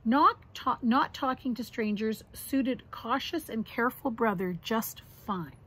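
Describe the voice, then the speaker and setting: Middle-aged woman, high-pitched but husky, animated storybook reading, talking to small children. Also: measured pace, rough recording. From Canada. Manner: expressive, addressing young children. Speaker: grandmother reading to grandchildren. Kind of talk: reading a children's picture book aloud, with asides. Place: outdoors on a deck or yard among trees.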